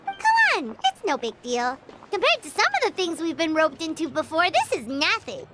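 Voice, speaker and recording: A squeaky fairy companion. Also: female voice, huge pitch swings, normal speed, very noisy, heavily acted. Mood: curious chirpy. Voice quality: high, squeaky and bouncy. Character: small floating fairy companion, chirpy and curious. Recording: game audio with loud music underneath.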